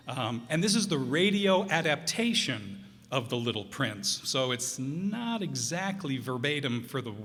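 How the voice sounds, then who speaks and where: Halting man hosting in an echoey hall. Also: fairly high pitch, fairly bright tone. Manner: halting, off-the-cuff. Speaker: host introducing a live show. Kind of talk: casual, unscripted introduction to audience. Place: performance hall before a live audience. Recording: echoey hall, live stage.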